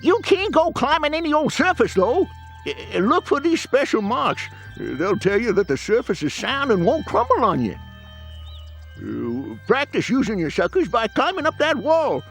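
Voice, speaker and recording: An actor playing a friendly, folksy old trail guide who explains game moves in a gravelly drawl. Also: male voice, very high voice, wildly swooping pitch, moderate pace, a bit bright, faint music behind, exaggerated voice. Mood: coaxing. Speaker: tutorial guide.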